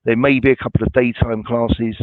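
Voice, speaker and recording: A man's voice: mid-range voice, flat delivery, a little fast, dry recording. A man from a college staff answering viewer questions in a webinar, informal and reassuring, with many ums and restarts. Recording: dry, tinny phone-like sound.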